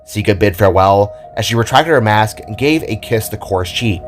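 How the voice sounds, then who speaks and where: Man, low voice, scripted fantasy narration, dramatic storyteller, background music. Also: lively intonation, normal speed. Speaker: narrator of an amateur audio drama. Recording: music under the voice.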